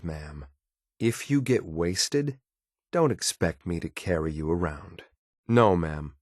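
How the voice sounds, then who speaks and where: Male android soldier, low-pitched, formal, deadpan, coolly disapproving, video game companion, close dry sound. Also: no room sound. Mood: dry, blunt. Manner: formal, clipped, deadpan, deferential yet blunt.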